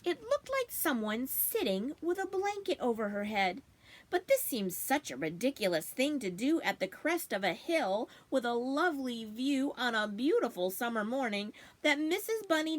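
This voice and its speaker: A woman reading a children's storybook aloud as expressive narration, in the manner of a library storytime. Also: on the high side, a little slow.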